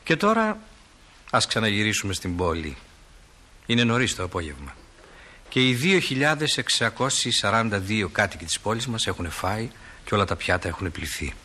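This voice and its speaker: A man playing a genial stage manager who narrates small-town life in a radio play like an unhurried storyteller. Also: fairly low pitch, sing-song, pushed and bright, heavily acted. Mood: calm, wry.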